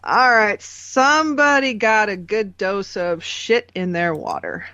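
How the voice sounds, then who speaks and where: A man's voice: high voice, very animated, unhurried, bright, forceful tone, dry recording, muffled recording. A man co-hosting a podcast in casual chat, drawling short, amused and sometimes sweary reactions. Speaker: podcast co-host.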